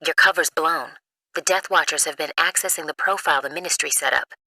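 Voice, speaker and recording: An actress playing an earnest, businesslike intelligence analyst in a video game, crisp and matter-of-fact. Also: female voice, low voice, a little fast, sharp tone, no echo, exaggerated voice, poor audio. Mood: concerned, businesslike. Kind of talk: video game cutscene acting.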